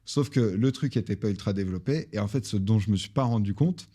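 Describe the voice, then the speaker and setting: A young French man, a guest on a podcast, telling business stories in a casual, animated way with lots of fillers. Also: lowish voice, a little fast. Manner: animated storytelling with many fillers. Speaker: online business founder, guest.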